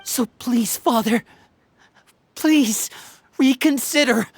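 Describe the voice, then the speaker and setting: A loyal teenage boy in a video game. The voice is on the high side, with an earnest, articulate delivery. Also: slow, husky, full character voice. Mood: pleading. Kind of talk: scripted game voice acting.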